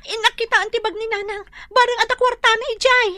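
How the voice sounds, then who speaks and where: A woman's voice: very high voice, fairly even intonation, quick pace, pushed and bright, no room sound, clean studio sound. A woman playing an anxious, devoted young daughter in a radio drama, pleading and emotional, with an Ilocano Filipino accent.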